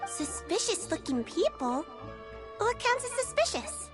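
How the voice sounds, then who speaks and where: Chirpy, very high little creature girl. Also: very animated, unhurried, over music, exaggerated voice. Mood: innocent, carefree. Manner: innocent, chirpy, hesitant when thinking. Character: cheerful, naive, forgetful little Melusine creature girl. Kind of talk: video game voice acting.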